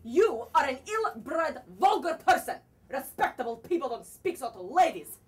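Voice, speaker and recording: A woman's voice: high voice, sing-song, normal speed. An actress playing a haughty, offended lady in a comic stage play, speaking formally and emphatically. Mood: indignant. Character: indignant, haughty, prim lady scolding a boor. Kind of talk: theatrical stage acting of a comic one-act play. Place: theatre stage. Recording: distant mic on a theatre stage.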